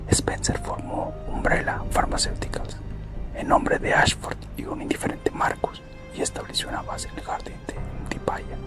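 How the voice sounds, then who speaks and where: A person whispering a scripted ASMR roleplay narration in a slow, deliberate way, as a corporate recruiter. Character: corporate recruiter recounting company history. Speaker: ASMR content creator. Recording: close whisper over loud background music. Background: music.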